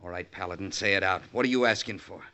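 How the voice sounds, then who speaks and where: An actor playing a bitter, stubborn middle-aged rancher in an old-time radio western, gruff and commanding with folksy grammar. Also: male voice, low-pitched, quick pace, pushed and bright. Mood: angry, defiant. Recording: muffled, noisy vintage radio recording.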